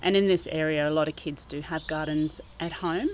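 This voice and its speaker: A woman's voice: sits low, fairly even intonation, moderate pace, a little hiss or hum. A woman who works as a garden teacher, explaining thoughtfully and earnestly in an informal interview outdoors. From New Zealand. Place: outdoors, under a gazebo in a school garden.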